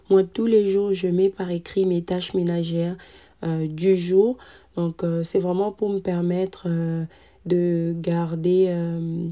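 A woman in her thirties, a mother, narrating a cleaning vlog in a calm, relaxed voice-over with frequent hesitant fillers. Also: lowish voice. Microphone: voice-over recorded separately.

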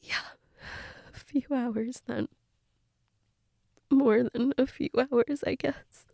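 A woman acting a grieving girlfriend in an intimate audio role-play, crying and fragile, halting and repeating herself, often dropping to a whisper. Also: fairly high pitch, fairly even intonation, vocal fry, dark tone, no echo, clean studio sound, heavily acted. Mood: tearful, drained. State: crying, sniffly, breathy from tears. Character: grieving girlfriend, tearful and fragile, insisting she's fine.

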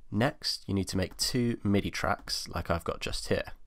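A man in his twenties with a low-pitched voice. He hosts an instructional screencast, explaining each step in order as a tutor would for beginners. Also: moderate pace, gravelly, fairly bright tone. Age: 20s. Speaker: music production tutorial host.

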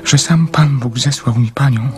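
An actor playing a lonely, tender young dreamer in love in a radio drama, earnest, emotional and romantic. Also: male voice, mid-range voice, normal speed, soft background music, lightly acted. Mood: tender gratitude.

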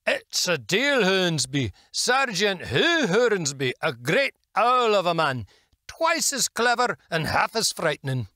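A man's voice: sits high, big pitch swings, takes it slowly, sharp tone, no echo, studio quality, pitched above the performer's own voice, exaggerated voice. A man voicing a teasing old Scottish soldier with a thick Scottish brogue. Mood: joshing.

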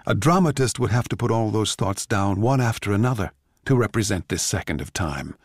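A man playing an excitable, cocky, childlike young composer with a gushing, emphatic way of talking, in a dramatic play reading. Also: fairly low pitch, dry recording, clean studio sound. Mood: fired up.

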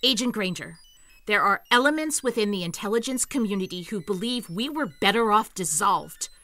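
Woman playing a sharp, exasperated female agency boss. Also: medium pitch, expressive, normal speed, no echo, faint music behind. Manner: commanding, scolding, biting sarcasm. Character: stern exasperated female intelligence section chief, sharp-tempered. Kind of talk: audio drama acting.

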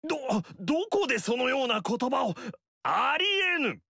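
A man's voice: very high-pitched, big pitch swings, normal speed, gravelly, sharp tone, husky, no echo, clean studio sound, heavily acted. An actor playing a pompous, haughty middle-aged noble lord who lectures a young girl in a game. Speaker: game character. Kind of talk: game story dialogue.

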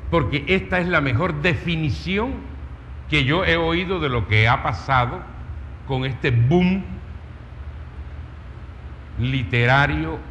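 A man's voice: fairly high pitch, slow pace, pauses often, a bit bright. A man with an accent from Colombia's Caribbean coast telling a story in a public talk, emphatic and rhetorical, piling up lists and questions. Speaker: speaker, writer. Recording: dull, reverberant hall with faint noise.

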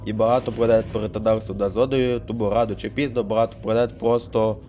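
A man playing a calm storyteller in a cartoon, explaining planetary history in a measured, scripted way. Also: fairly low pitch, noisy background, pitched below the performer's own voice, close to an everyday voice. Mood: explanatory. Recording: music behind the voice.

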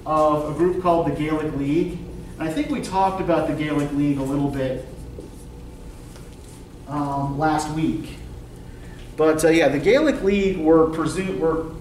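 A man's voice: fairly high pitch, a little mellow. Male lecturer in an echoey classroom. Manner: questioning, often checks with "right?". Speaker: university literature lecturer. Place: classroom, indoors. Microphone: distant camera microphone, speaking through face mask. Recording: roomy classroom from far off, faint noise.

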